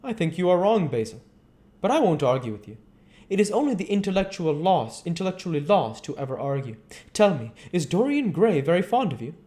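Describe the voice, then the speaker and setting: A man voicing a witty, cynical gentleman aesthete in a storybook reading, pompous and teasing. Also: sits high, very animated, brisk, voice pushed up.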